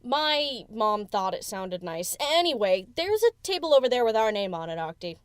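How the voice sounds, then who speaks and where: A woman's voice: fairly high pitch, sing-song, moderate pace, clean tone, no room sound, studio quality. A woman voicing a brash, teasing young female pony DJ in a fan audio story, with casual, slangy delivery. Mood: easygoing. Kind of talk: acted fan fiction audio drama.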